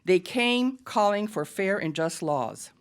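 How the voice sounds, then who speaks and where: A woman's voice: medium pitch, animated, slow pace. An American woman giving an emphatic, earnest prepared speech at a press club podium. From United States. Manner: earnest and emphatic, with pointed asides. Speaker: guest speaker at a press event.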